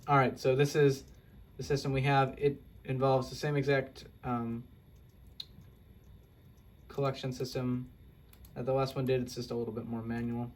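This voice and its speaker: A man's voice: mid-range voice, little pitch movement, moderate pace, slight background noise. A young man casually walking through a game build, rambling and correcting himself with self-deprecating asides. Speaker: Minecraft video creator. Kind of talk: casual gameplay walkthrough.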